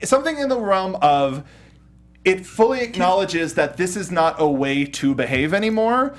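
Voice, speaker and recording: Give this voice to a man with a fairly high pitch, hosting a casual panel show. He riffs freely in an animated manner and talks like he is among friends, with some casual swearing. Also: moderate pace. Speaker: talk show host. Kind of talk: casual panel discussion of entertainment news.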